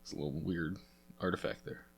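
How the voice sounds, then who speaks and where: A deep-voiced young man hosts a relaxed game playthrough, sounding congested and sniffly from a cold. He rambles along casually, dropping frequent fillers as he clicks through. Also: brisk, vocal fry, breathy edge. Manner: chatty, rambling, lots of uh fillers. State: stuffy nose from a cold. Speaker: let's play host.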